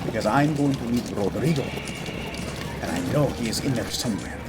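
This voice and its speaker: An actor playing a commanding young prince leading an army in an audio drama, urgent and forceful, dropping in Spanish words. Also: male voice, medium pitch, lively intonation, a little fast, over loud music, natural voice. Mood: urgent battle command. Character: commanding, battle-hardened young Spanish-speaking prince turned war leader.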